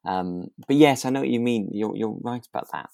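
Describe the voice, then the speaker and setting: A man's voice: lowish voice, a little fast, gravelly, warm tone, dry recording, studio quality. A man co-hosting a podcast in a casual style, tentatively thinking aloud as he talks. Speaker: podcast co-host. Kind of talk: relaxed casual discussion of a radio drama.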